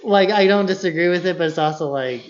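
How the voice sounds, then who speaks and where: A man's voice: high voice, quick pace, highs cut off. A man co-hosting a podcast, chatting about films in an informal, opinionated way. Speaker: podcast co-host. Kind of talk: casual film discussion.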